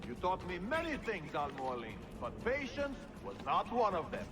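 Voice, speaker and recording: An actor playing a bitter, menacing assassin villain in a video game, with a theatrical, taunting delivery. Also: male voice, high-pitched, wildly swooping pitch, moderate pace, music in the background. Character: bitter, scornful male traitor assassin, eloquent and menacing. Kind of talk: video game cutscene acting.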